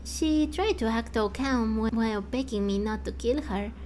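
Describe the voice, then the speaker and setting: A woman's voice: a little high, normal speed, darkish tone, a little hiss or hum, natural voice. A woman voicing a chatty, self-assured little girl from a game, telling stories in a confiding, matter-of-fact way. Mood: confiding, amused. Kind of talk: fan voice-over of game dialogue.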